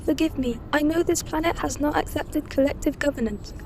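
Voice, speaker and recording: Woman playing a high-voiced, haughty alien advisor. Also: brisk, a little mellow, slight background noise. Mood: indignant. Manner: precise, superior. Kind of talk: audio drama acting.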